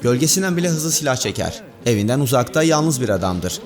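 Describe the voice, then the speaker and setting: Lively male countdown narrator over music. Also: mid-range voice, fast talker. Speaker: video host and narrator. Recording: music bed under voice. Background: background music.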